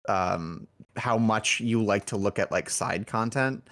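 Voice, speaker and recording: A man's voice: on the low side, slow, fairly bright tone. A man chatting casually as an interview guest, thinking aloud in a rambling way. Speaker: indie game developer, podcast guest.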